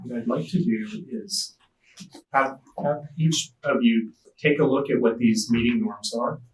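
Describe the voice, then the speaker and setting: A soft-spoken man facilitating a committee meeting, giving measured, gentle instructions to the group. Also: mid-range voice, slight background noise. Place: school district meeting room. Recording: echoey room, voice from a distance.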